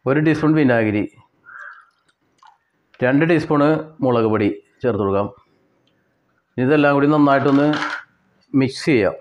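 A man with a Kerala Malayali accent giving step-by-step cooking instructions in a plain way, with pauses and repetitions. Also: mid-range voice, muffled recording, slight background noise. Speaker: home cook presenter. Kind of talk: step-by-step recipe narration.

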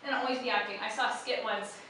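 A woman's voice: fairly high pitch, fairly even intonation, fast, background noise. Chatty young woman in an echoey hall. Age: young adult. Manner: chatty, lots of "you know" and "like". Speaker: convention panel presenter. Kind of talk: presenting a how-to panel talk. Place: lecture hall at a fan convention. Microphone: headset mic. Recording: echoey hall, recorded from a distance.

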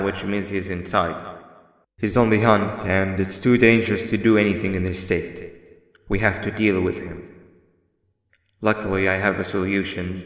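A guarded creature guide, voiced by a man, with a low, steady voice. He gives instructions in a calm, measured way. Everything is bathed in a roomy, lingering reverb. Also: close to an everyday voice. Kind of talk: video game voice acting. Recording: echoey reverberant game audio.

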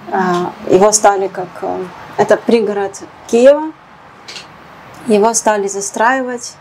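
A young woman from Ukraine in her late twenties, giving a documentary interview in a small kitchen on a lapel mic, speaking softly with frequent hesitations. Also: medium pitch, slight background noise, rough recording. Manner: soft, hesitant with frequent fillers.

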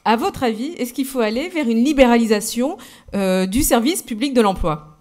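A woman moderating a conference panel, briskly introducing the next speaker. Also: on the high side, animated, darkish tone. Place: conference stage at a podium.

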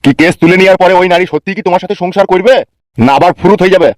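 A man's voice: high-pitched, rapid-fire, no echo, natural voice. Casting: man as blunt senior cop for comic cartoon, weary and stern, racing delivery, close dry sound. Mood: stern, lecturing. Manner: lecturing, blunt. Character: stern, weary, crude-tongued male senior police officer.

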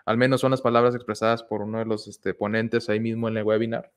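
A man's voice: on the low side, level tone, fast talker, smooth. Hesitant young man. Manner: hesitant, many fillers and restarts. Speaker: meetup speaker. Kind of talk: casual technical presentation. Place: small room with whiteboard, indoors. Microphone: headset with boom mic.

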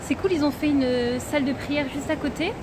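A woman's voice: sits high, fairly quick. A woman in her thirties, vlogging to a phone at arm's length, chatty and full of hesitations as she walks through a busy bazaar. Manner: chatty, lots of hesitation fillers. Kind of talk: casual vlog chat to camera. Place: busy indoor bazaar hall, later a city bus. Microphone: phone held at arm's length. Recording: loud crowd and traffic noise.

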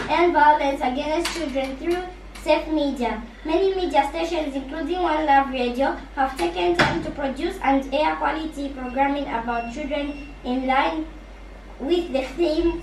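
Very high-pitched young Zambian schoolgirl reading news. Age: teenage school pupil. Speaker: pupil newsreader. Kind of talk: scripted radio news reading. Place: small radio studio, recorded by camera. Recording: echoey, distant mic, faint noise.

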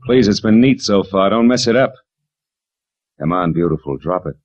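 An actor playing a wry, world-weary private detective with an American accent and clipped, slangy delivery, in 1940s radio drama style. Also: male voice, low-pitched, expressive, moderate pace, darkish tone. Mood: brooding, wry. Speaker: lead actor and narrator. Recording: muffled old radio recording.

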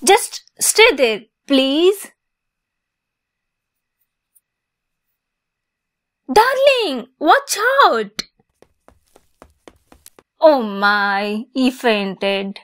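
Sweet, slow-talking lovesick fox girl played by a woman. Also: high-pitched, lively intonation, fairly bright tone, full character voice. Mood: panicked. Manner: sweet, giggly, coy, obsessive. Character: lovesick, possessive young kitsune fox girl. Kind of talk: ASMR roleplay acting.

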